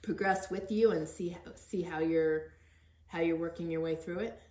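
Low, even-voiced woman in an echoey room. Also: moderate pace. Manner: earnest, encouraging. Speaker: podcast host interviewing. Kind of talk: conversational interview.

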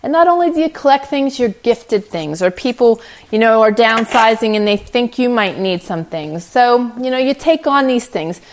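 A woman's voice: a little high, expressive, moderate pace, hardly any pauses. A middle-aged woman speaking as a podcast host, giving an informal motivational talk in an earnest, encouraging, conversational way.

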